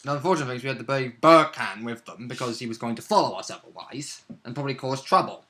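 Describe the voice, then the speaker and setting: A man with a sharply bright voice at a middle pitch talks casually about a role-playing session. He is a player telling the story in an animated, informal way. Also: fast talker. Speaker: tabletop role-play player recounting a session. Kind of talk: casual chat recap of a game session.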